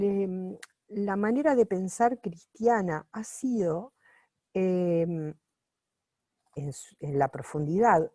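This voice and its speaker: A woman reading a book aloud while translating it on the fly, pausing often with fillers and restarts. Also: mid-range voice, warm tone, no echo, clean studio sound. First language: not Italian. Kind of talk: unscripted sight-translation reading.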